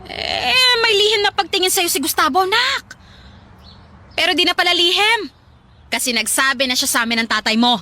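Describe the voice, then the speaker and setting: A woman's voice: very high-pitched, animated, normal speed, exaggerated voice. A Filipino woman playing a poor, debt-ridden mother in a radio drama, coaxing and guilt-tripping as she presses her case. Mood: anxious, coaxing. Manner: coaxing, guilt-tripping, hesitant then insistent. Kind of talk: Tagalog radio drama acting. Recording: loud music under the voice.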